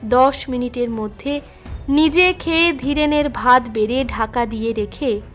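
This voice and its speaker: A woman's voice: fairly high pitch, unhurried. A woman narrating a literary story from a script as an audiobook reader. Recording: music behind the voice.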